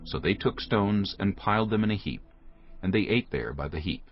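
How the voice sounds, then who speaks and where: A man's voice: on the low side, expressive, normal speed. A man narrating a dramatized scripture reading from a script. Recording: dull lo-fi audio with music behind.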